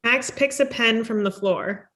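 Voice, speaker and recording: A woman's voice: fairly high pitch, a little slow, sharp tone. A woman reading the stage directions of a screenplay at a table read, as scripted narration.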